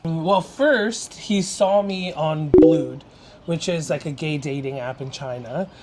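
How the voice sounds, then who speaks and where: A man's voice: a little high, slow, heavy background noise. A young man from Canada, an interview guest talking casually and openly, laughing easily. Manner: open and chatty, laughs easily. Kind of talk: casual chat.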